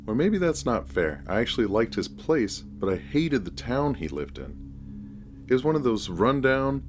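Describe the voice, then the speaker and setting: A man narrating a scripted first-person horror story in a measured, deliberate storytelling manner. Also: medium pitch, a little hiss or hum.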